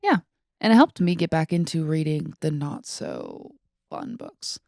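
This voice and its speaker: A woman's voice: low voice, wildly swooping pitch, measured pace, gravelly, a little mellow, no echo, studio quality. A woman in her twenties chatting casually about books as a podcast co-host, in an informal way peppered with "like". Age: 20s.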